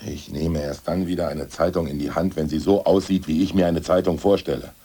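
An actor playing a brooding, ousted aging party official in a radio drama, who recites poems in a slow, solemn drone. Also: male voice, low-pitched, steady tone, warm tone, breathy edge. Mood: indignant. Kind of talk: 1980s radio drama acting.

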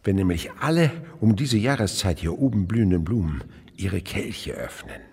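A man's voice: low-pitched, very animated, moderate pace, vocal fry, a little mellow, slightly rough, no echo, heavily acted. An older male actor playing an enthusiastic, teasing naturalist uncle in a German radio play, fond and instructive in a theatrical way. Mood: excited, fond.

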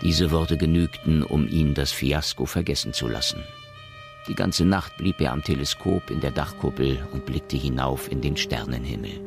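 An extremely deep, gravelly man's voice narrates a radio drama over music. The storytelling is sober and measured. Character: sober male storyteller of a science fiction tale. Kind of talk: scripted radio play narration.

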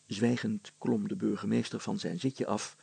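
A man's voice: fairly low pitch, fairly even intonation, normal speed, creaky, darkish tone, breathy edge, dry recording. A man narrating a scripted children's story as a storyteller.

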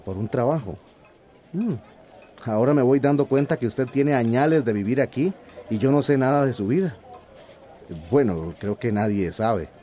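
A man's voice: medium pitch, animated, moderate pace, pauses often, dark tone, lightly acted. A man playing an earnest, indignant middle-aged villager and grieving father in a radio drama. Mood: curious. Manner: earnest, aggrieved, plain-spoken.

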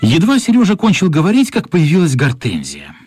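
A man narrating a children's radio play as scripted storytelling, measured and expressive, with pauses. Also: on the high side, breathy edge, no room sound, studio quality. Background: music.

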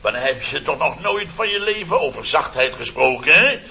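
An actor playing an exasperated, grumbling company boss in a Dutch radio comedy serial from around 1970. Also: male voice, fairly high pitch, lively intonation, fairly quick, gravelly, bright, forceful tone, exaggerated voice. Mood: mocking indignation. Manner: sarcastic, grumbling, exclamatory. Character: blustering, easily exasperated boss of a building firm. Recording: dull, hissy old radio recording.

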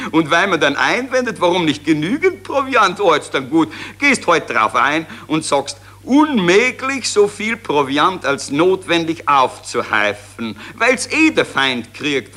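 An actor playing a bumbling, condescending Austrian general staff officer on the telephone in a satirical radio play, speaking colloquial Viennese. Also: male voice, on the high side, sing-song, pushed and bright, heavily acted. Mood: exasperated, scheming. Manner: colloquial, condescending, haltingly repeating the other side.

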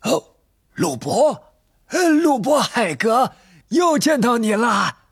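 Eccentric old storybook wandmaker: husky and breathy, highish swooping pitch. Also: male voice, sing-song, dry recording, exaggerated voice. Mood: delighted. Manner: slow, drawn-out, swooping delivery with muttered asides. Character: eccentric old male wandmaker, keen and theatrical.

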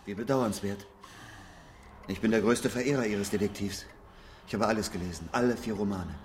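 An actor playing a politely persistent, ingratiating journalist visitor in a radio drama, deferential and fawning. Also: male voice, lowish voice, normal speed, slight background noise, lightly acted. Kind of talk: German radio drama acting.

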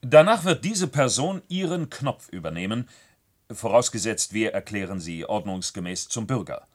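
A man's voice: fairly low pitch, animated, normal speed. A man playing a stern, officious control official in a 1970s science-fiction radio play, speaking in formal, commanding bureaucratic language. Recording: noisy old radio drama recording.

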